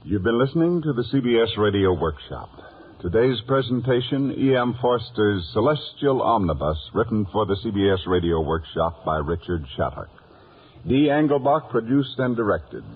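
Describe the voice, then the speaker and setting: A man with an American accent, formally reading scripted credits as a 1950s radio announcer in a measured way. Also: on the low side, very animated, slightly rough. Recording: tinny 1950s radio recording.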